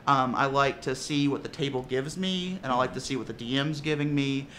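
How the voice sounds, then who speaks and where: Bright, animated man telling stories. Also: mid-range voice, a little fast, a little hiss or hum. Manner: animated, laughing storyteller with many restarts. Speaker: tabletop player and voice actor. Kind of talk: casual interview chat.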